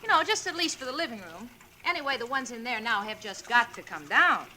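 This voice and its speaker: A chatty, coaxing young wife from a 1940s radio drama. Her voice is high, bright and very lively in pitch. A faint noise sits behind it. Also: brisk. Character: cheerful, fussy young wife setting up home.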